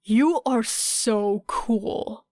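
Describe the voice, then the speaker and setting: A woman's voice: a little high, extremely slow, darkish tone, dry recording, clean studio sound. A woman voicing a hearty, enthusiastic teenage boy with an emphatic way of talking, in an audiobook story. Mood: awed.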